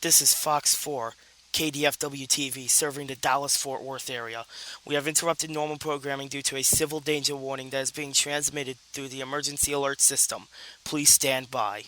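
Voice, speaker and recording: A man reading a scripted station interruption announcement as a TV announcer, formal and deliberate. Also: medium pitch, fairly even intonation, bright, forceful tone, poor audio.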